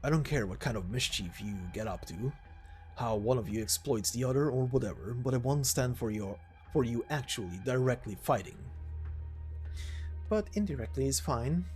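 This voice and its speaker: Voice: medium pitch, expressive, moderate pace, a little mellow, soft background music, close to an everyday voice. A narrator voicing a blunt, dismissive male mercenary captain in an amateur game story dub. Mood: brisk, commanding.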